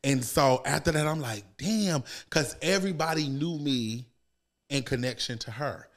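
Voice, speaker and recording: An American man speaks as a guest in a casual interview. He tells stories in an emphatic, profane way, often repeating a phrase to drive it home. His pitch sits in a middle range for a man. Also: moderate pace. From United States, born in New York, raised in Savannah, Georgia. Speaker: podcast guest, comedian and motivational speaker.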